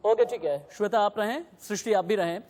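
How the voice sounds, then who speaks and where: A man's voice: high voice, a little fast, darkish tone, big-room sound. A man anchoring live news, putting questions and instructions to reporters and guests in an insistent, emphatic way.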